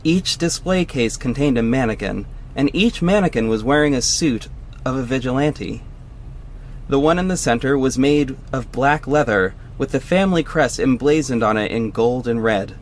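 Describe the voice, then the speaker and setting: A man's voice: medium pitch, expressive, moderate pace, a little hiss or hum, close to an everyday voice. A man playing a vigilante hero, narrating his own story in scripted first person for an audio drama. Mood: awed.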